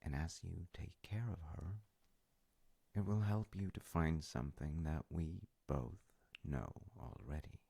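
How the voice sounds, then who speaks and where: A man playing a gentle, loving, wise dad in an intimate audio roleplay, speaking tenderly and hesitantly in short phrases with a gravelly, breathy voice. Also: rumbling low, unhurried, no echo, exaggerated voice. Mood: soft, earnest storytelling. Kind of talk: intimate audio roleplay, improvised-sounding storytelling.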